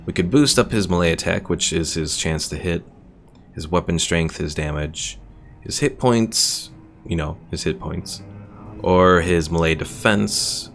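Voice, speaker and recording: A man with a deep, gravelly voice talks through a strategy game stream at an easy pace. He explains the mechanics in a relaxed, conversational way and answers viewers' questions as they come in. Faint music runs underneath him. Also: unhurried. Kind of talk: casual gameplay commentary answering chat.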